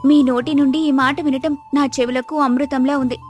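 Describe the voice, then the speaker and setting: Woman as wise, devoted storybook wife; high register, gently admonishing, earnest, light music bed. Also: fairly even intonation, moderate pace, natural voice. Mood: relieved, devoted. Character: patient, devoted, wise village wife of a miser. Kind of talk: Telugu moral story character acting.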